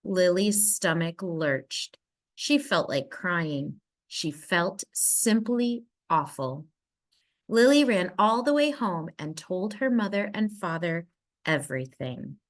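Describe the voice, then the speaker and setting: A woman narrating a children's storybook aloud in an expressive manner with dramatic emphasis. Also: fairly low pitch, takes it slowly. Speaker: storybook reader.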